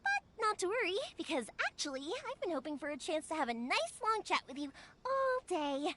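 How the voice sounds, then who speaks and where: A woman voicing a cheerful, chatty, excitable young fireworks maker in an anime-style video game. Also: very high voice, normal speed, a little hiss or hum, full character voice. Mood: friendly, chatty. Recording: music behind the voice.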